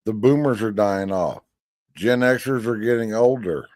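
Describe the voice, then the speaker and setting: A man's voice: lowish voice, measured pace, darkish tone, no room sound. A man in his fifties giving blunt, folksy commentary, now and then swearing. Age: 50s. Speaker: commentator reacting to a hearing. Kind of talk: casual commentary over a clip.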